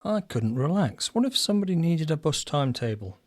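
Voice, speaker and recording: A man's voice: fairly high pitch, big pitch swings, fairly quick, a little mellow. Man acting a meek, fussy shop supervisor. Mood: anxious, firm. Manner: mild, hesitant, earnest. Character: meek, fussy, henpecked male shop supervisor, polite and anxious. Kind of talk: audio drama dialogue.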